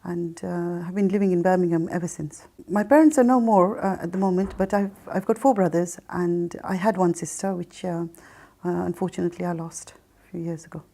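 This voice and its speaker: A middle-aged woman recounts her life in a reflective interview testimony. Her voice is mellow and slightly low. She speaks haltingly, often pausing partway through a phrase to find the next one. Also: fairly even intonation, vocal fry. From Kenya, raised in Mombasa and then England. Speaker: interview guest telling her life story. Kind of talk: personal testimony, reflective recollection.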